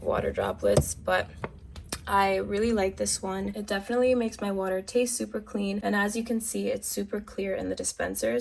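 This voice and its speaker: A woman's voice: mid-range voice, creaky, darkish tone. A young woman vlogger chatting through her favorite things at home, a little congested from a cold. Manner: chatty, upbeat product recommendations. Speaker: lifestyle vlogger. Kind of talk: casual walkthrough vlog. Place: home apartment, kitchen.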